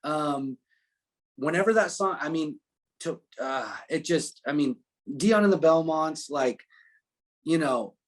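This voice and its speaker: A man in a casual interview, telling stories as a musician guest in an animated, unpolished way. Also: a little high, slow. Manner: animated storytelling, frequent profanity and filler phrases.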